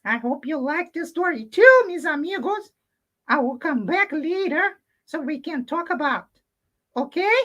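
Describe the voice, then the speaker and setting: Woman putting on a high, excitable little boy puppet. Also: a little slow, dull audio. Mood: eager, friendly. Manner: childlike, dramatic, mixing Spanish exclamations into English. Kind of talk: children's puppet show.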